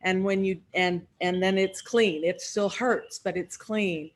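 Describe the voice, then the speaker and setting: A woman speaking reflectively as a panelist, measured and thoughtful, pausing in the middle of phrases. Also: mid-range voice, fairly even intonation.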